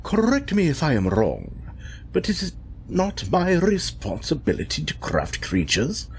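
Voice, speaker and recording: Lively, insolent male villain's underling. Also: a little high, measured pace, slight background noise, full character voice. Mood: smug, defiant. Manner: wordy, formal, sly. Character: insolent villainous creature-maker, scheming underling brother. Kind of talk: dramatic audio fiction acting.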